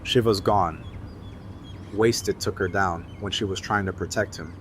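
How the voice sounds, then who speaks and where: A man's voice: low-pitched, fairly even intonation, unhurried, slight background noise. An actor playing a subdued, grieving male survivor in an audio drama. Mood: somber, grieving.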